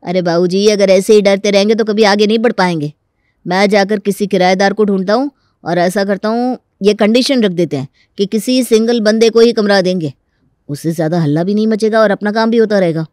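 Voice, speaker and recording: A woman's voice: mid-range voice, fairly quick, darkish tone, no room sound, clean studio sound, close to an everyday voice. Woman voicing a bold scheming young family man. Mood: scheming, persuasive. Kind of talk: Hindi animated story dialogue.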